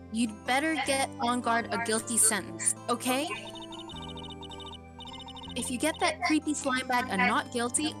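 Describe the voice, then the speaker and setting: A woman voicing a spirited, teasing young woman, bossy yet affectionate, in a game fan dub. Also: fairly high pitch. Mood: threatening, teasing.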